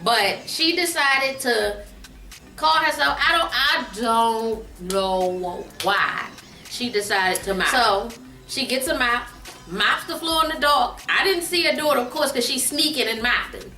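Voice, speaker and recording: A woman's voice: a little high, a little slow, bright, forceful tone. A middle-aged woman telling a funny story casually, emphatic and repeating phrases for comic effect. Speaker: guest mother telling a family story on her daughter's vlog. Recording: roomy echo, distant mic, faint music.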